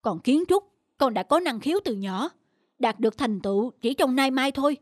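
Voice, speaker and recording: A woman's voice: high voice, expressive, normal speed. A woman voicing a stern, proud middle-aged mother in a cartoon, scolding and emphatic. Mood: disapproving, stern. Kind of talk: animated cartoon dubbing.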